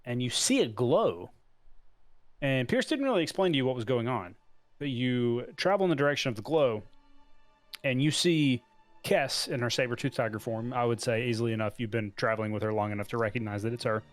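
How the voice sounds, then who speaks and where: A man's voice: medium pitch, animated, a little fast, dry recording, faint music behind. Man narrating as game master.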